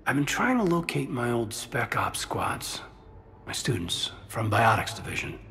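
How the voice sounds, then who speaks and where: An actor playing an earnest, soft-spoken male soldier who has just been made an elite agent. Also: medium pitch, sing-song, a little slow, a little hiss or hum, heavily acted. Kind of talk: video game voice acting.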